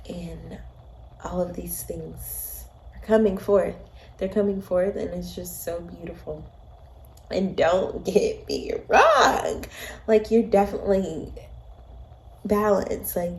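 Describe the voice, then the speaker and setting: An expectant mother chatting casually at home in a home vlog, leaning on "like" as she talks, sometimes speaking softly to her unborn baby. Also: lowish voice, gravelly, slight background noise, low-quality recording. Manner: chatty, leans on "like" a lot.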